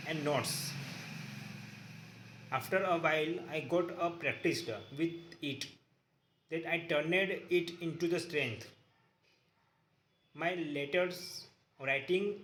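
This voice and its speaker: A man reading a book aloud in a halting way, repeating each sentence and correcting his own slips. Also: fairly high pitch, a bit bright, a little hiss or hum, low-quality recording.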